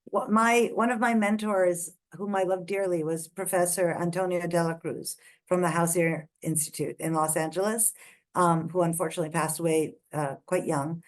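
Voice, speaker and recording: A woman's voice: lowish voice, steady tone, normal speed. A woman from India giving a methodical online lecture, pointing out structures on images one by one. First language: not English. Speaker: lecturer on ear anatomy. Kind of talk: online lecture walking through scans.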